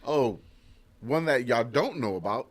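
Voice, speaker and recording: A man's voice: mid-range voice, animated, measured pace. A man chatting casually on a podcast panel in a blunt, slangy way. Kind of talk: casual chat.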